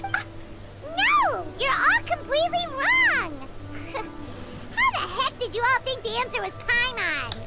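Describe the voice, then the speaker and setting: A woman voicing a small, childlike floating fairy girl in a video game, boastful, excitable and quick to get indignant, speaking in exclamations. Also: over loud music, heavily acted. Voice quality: squeaky childlike voice. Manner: refers to self in third person, exclaiming. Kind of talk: animated video game voice acting.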